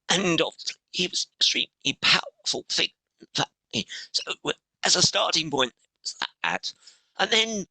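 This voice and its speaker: A middle-aged man, a podcast guest, talking with a strained, hoarse voice in halting phrases that break off and restart. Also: high voice, pushed and bright, dry recording, poor audio. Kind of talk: interview conversation.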